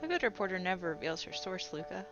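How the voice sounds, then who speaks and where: A woman's voice: fairly low pitch, very animated, quick pace, dull audio, music in the background. A woman voicing a young female reporter who shares rumors in a chatty, knowing way. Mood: gossipy.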